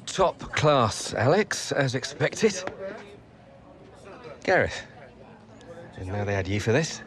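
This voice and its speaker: A man's voice: medium pitch, sing-song, takes it slowly, fairly bright tone, heavily acted. An actor playing a slick, evasive male football agent in a naturally acted game cutscene. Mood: smooth flattery.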